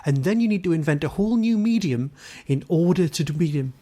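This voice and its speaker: A man with a fairly high voice hosts a casual podcast interview. His talk is chatty and informal, with a joking way of putting questions. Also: expressive, brisk, warm tone.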